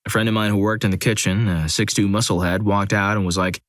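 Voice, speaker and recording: A man with a lowish voice reads first-person stories aloud in a steady, scripted delivery. He drops in dry, wry asides between the stories. The sound is close and dead, with no room around it. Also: fast, no echo. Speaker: narrator. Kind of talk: scripted story narration.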